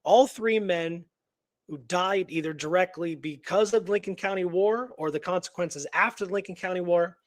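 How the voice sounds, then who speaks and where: A man's voice: high-pitched, moderate pace, no room sound. A man co-hosting a history show in casual, conversational discussion, often dropping in "you know".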